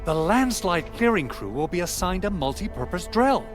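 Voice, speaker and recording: A man's voice: sits high, big pitch swings, moderate pace. A man voicing a confident, enthusiastic planner who briefs his team in a video game. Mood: confident, explanatory. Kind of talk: scripted game dialogue, explanatory. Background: game music.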